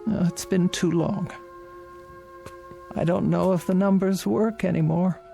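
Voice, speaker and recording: A frail old woman, lonely and fretful in a radio play, slow and gravelly as she frets aloud. Her voice quavers and hesitates. Music plays softly behind her. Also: fairly low pitch, fairly even intonation. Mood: forlorn. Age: older. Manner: quavering, hesitant, soft-spoken. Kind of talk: radio drama acting. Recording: radio drama with music underneath.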